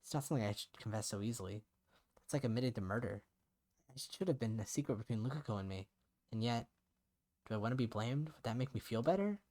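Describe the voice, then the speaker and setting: A man's voice: mid-range voice, animated, quick pace, a little mellow, natural voice. Man playing a somber, guilt-ridden young man. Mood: guilty, brooding. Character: guilt-ridden, despairing young man narrating his own story. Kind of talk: first-person visual novel narration.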